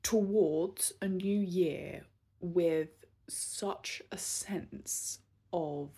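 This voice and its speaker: A woman in her thirties recording a casual vlog, talking unscripted and candidly, with many pauses, fillers and restarts. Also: fairly low pitch, mellow, breathy edge. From southern England. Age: 30s. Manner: candid and self-reflective. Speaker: vlogger.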